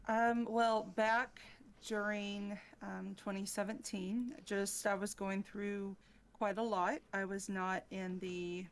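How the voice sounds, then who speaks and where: A woman speaking as a podcast guest in casual chat, hesitant and halting. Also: medium pitch, little pitch movement. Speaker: podcast guest, ASMR creator.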